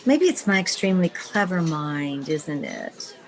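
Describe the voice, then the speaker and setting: A woman acting a sharp, teasing, self-assured newspaper publisher in an old-time radio comedy, with theatrical delivery. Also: low-pitched, very animated, a little slow, heavily acted. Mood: teasing, exasperated.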